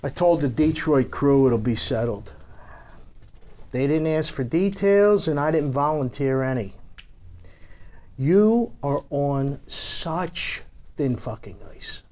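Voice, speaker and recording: Man acting as a slow, menacing mob boss. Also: fairly high pitch, expressive, a little mellow. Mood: cold threat. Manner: deliberate, profane, lecturing. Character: menacing, domineering male gangland boss, cold and explosive. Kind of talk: live table read of a TV script.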